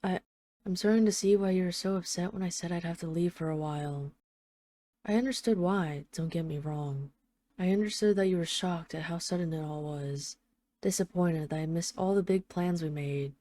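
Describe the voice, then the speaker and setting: Actress as female mafia boss in hiding, lovesick and apologetic. Lowish, soft-edged dark tone, earnest halting delivery, intimate audio roleplay. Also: dry recording, natural voice. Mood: contrite. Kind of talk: intimate audio roleplay, one side of a phone call.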